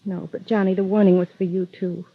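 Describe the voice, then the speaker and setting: An actress playing an anxious, pleading, lonely young woman in love, in vintage radio melodrama style, with a soft, breathy voice. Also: mid-range voice, flat delivery, fairly quick, close to an everyday voice. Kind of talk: 1940s-50s radio melodrama acting. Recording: thin, phone-like vintage radio recording.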